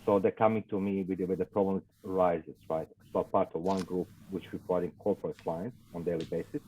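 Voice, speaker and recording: A man with a Croatian accent, a guest in an interview, speaking English as a second language with many fillers and halting phrasing. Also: deep voice, fairly even intonation, a little mellow, slight background noise, low-quality recording. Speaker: guest, investigations business owner. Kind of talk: casual interview talk.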